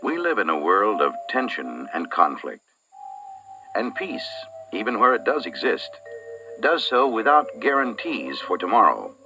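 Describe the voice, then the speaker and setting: Old muffled film narrator guy, low voice w big swoops, solemn, music behind him. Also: big pitch swings, a little slow, recorded from a distance. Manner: solemn, oratorical. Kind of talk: scripted civil defense film narration.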